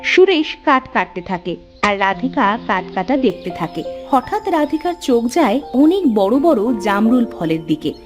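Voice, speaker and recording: A woman's voice: a little high, sing-song, a little slow, over music. A woman narrating a scripted fairy tale for children.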